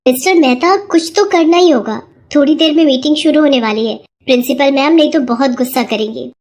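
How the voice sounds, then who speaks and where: Woman doing an anxious young teacher, high. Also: fairly quick, darkish tone. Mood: urgent. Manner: urgent.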